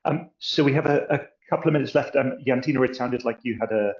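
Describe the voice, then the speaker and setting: A man hosting and moderating an online seminar, briskly handing the floor to participants, heard over a video call. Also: mid-range voice, a little mellow. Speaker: host, moderator. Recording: dull lo-fi audio.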